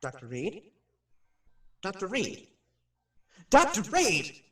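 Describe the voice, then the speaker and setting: A man's voice: sits high, wildly swooping pitch, slow, pushed and bright, recorded from a distance, heavily acted. An actor playing a skeptical, flippant radio personality who chattily narrates his visit to a haunted house in a radio drama. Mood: startled, alarmed. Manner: chatty, narrates what he sees, hesitant asides. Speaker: radio host. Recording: roomy echo, off the microphone.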